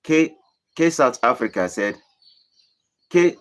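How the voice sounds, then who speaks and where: A man from Nigeria with a Nigerian accent, hosting a live stream and answering viewer questions in a deliberate, emphatic way. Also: animated. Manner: deliberate, emphatic, rising to high emphatic bursts. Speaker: channel host.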